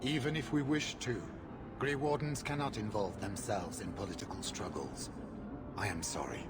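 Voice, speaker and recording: An actor playing a stern male warrior of a sworn order, speaking in a formal, grave and measured way. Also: on the low side, lightly acted. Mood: solemn. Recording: game audio over ambient noise.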